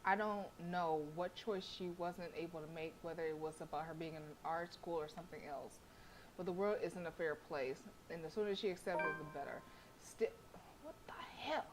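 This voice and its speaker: A woman's voice: fairly low pitch, a little fast, over music, low-quality recording. A woman streamer reading a visual novel aloud expressively, with casual, sassy asides. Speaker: game streamer.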